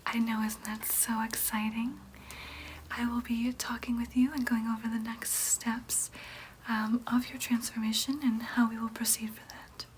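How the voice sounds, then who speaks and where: A gentle vampire nurse played by a woman whispers softly and breathily in an ASMR roleplay. The voice is on the high side, careful and reassuring as it explains things to a patient. Clear background noise sits behind the whisper. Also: little pitch movement, close to an everyday voice, low-quality recording. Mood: reassuring, welcoming. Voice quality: soft, breathy unvoiced whisper. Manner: soothing, explanatory, slow and careful.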